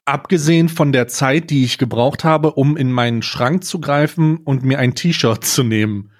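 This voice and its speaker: A man chatting casually as a podcast co-host, deliberate and mock-serious in how he puts things. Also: mid-range voice. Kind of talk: casual chat.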